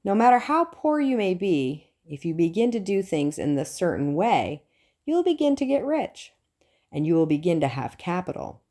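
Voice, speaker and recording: A woman narrates a self-help audiobook in a low, mellow voice. Her intonation moves widely up and down as she reads, and her delivery is deliberate and emphatic. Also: big pitch swings. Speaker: audiobook reader.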